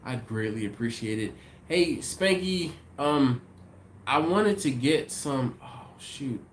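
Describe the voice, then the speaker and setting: Congested man hosting a live chat, easygoing, mid pitch, slight background noise. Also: expressive, takes it slowly. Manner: easygoing, thinks aloud with many ums and restarts. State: congested, sniffly. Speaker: livestream host. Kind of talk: casual live chat reading viewer comments.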